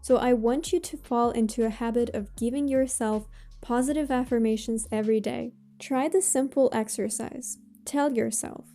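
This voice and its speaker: A woman with a Russian accent, a native Russian speaker, gives motivational advice straight to viewers in measured, deliberate phrases with emphatic pauses. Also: on the high side, dark tone. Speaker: host of a self-improvement channel.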